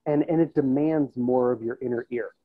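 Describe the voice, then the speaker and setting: A man's voice: mid-range voice, normal speed, dry recording. A man in his thirties, a musician and band teacher, talking reflectively in a casual interview and thinking aloud as he goes. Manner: conversational, thinking aloud, checks in with "you know" and "right?". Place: indoors in a plain room on a video call. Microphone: earbud headset. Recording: tinny, band-limited video call audio.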